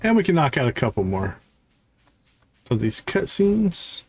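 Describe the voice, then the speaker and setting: A man's voice: a little high, big pitch swings, measured pace, breathy edge, faint music behind. A man doing casual live gameplay commentary, thinking aloud to himself and his viewers as a streamer. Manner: musing, talks to viewers and self. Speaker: video game livestreamer.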